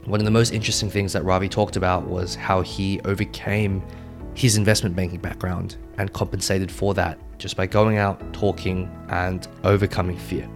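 A man with a lowish voice hosts a podcast interview. He talks in an upbeat, friendly way as he introduces the show and asks questions, with faint music playing behind him. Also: flat delivery, moderate pace. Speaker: podcast host.

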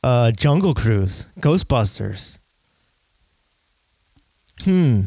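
A man's voice: mid-range voice, big pitch swings, drawn-out words, gravelly, dark tone, husky, no echo. A man hosting a podcast, chatting casually in a nasal voice and rambling through lists. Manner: nasal, rambling list-making. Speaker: podcast host. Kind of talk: casual chat.

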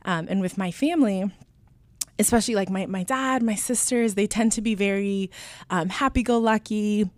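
A woman's voice: medium pitch, normal speed, darkish tone, no echo. A woman in her twenties from Alaska, first language Spanish, telling her story as an interview guest in an earnest way with many fillers and restarts. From Alaska, USA. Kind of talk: reflective personal storytelling.

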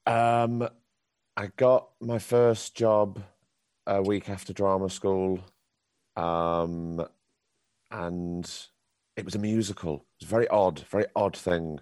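A man with a very deep voice, a professional actor answering a youth theatre group's questions with candid, encouraging advice. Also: steady tone, slow, long pauses, darkish tone, no echo. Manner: candid, encouraging advice with frequent ums and restarts.

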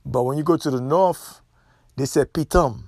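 A man's voice: fairly high pitch, moderate pace, creaky, a little mellow, dry recording. Man, solo podcast host, emphatic and repetitive, dry close sound. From Haiti. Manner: opinionated, emphatic, repeats himself.

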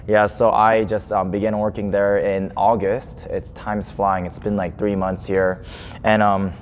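A man's voice: fairly low pitch, flat delivery, measured pace. Young man preaching in a reverberant church. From born in South Korea, grew up in Japan. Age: 20s. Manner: friendly, self-deprecating, laughs often, many fillers. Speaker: guest preacher. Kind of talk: casual, chatty sermon introduction. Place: church sanctuary. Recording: long church reverb, faint noise.

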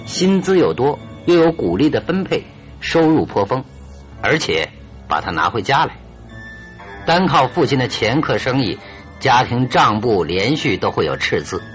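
Confident detective cornering a crook, radio play, big swingy intonation, bit of music behind. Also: male voice, mid-range voice, fairly bright tone. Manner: deliberate, commanding, theatrical. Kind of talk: radio drama acting. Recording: radio drama with music underneath.

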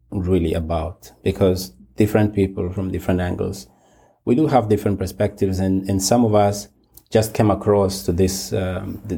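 A man hosting and moderating a panel discussion, speaking haltingly with many restarts. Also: sits low, warm tone, husky. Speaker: host and moderator.

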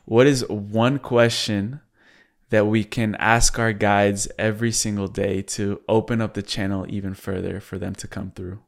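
A man's voice: fairly low pitch, little pitch movement, a little slow, creaky. A man hosting a podcast interview in an earnest, appreciative, conversational way. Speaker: podcast host.

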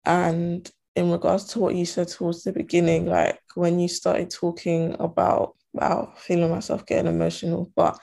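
A woman's voice: lowish voice, completely flat delivery, moderate pace, gravelly, few pauses, warm tone. A young woman from Ghana and Togo talking earnestly in a casual conversation, with many fillers and restarts. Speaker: student guest. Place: diaspora.

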